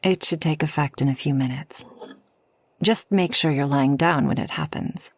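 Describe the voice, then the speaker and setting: Actress as kind, solitary forest witch for ASMR roleplay: low and creaky, soft, soothing, slightly formal. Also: no echo. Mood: soothing, caring. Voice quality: soft, breathy, often near whisper. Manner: gentle, unhurried, soothing, slightly formal speech. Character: kind, solitary, long-lived forest witch hosting a traveler.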